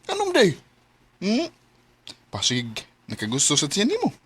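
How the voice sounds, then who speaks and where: Animated teasing young buddy. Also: male voice, high-pitched, unhurried, heavily acted. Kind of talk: radio drama acting. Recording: faint noise and ambience behind.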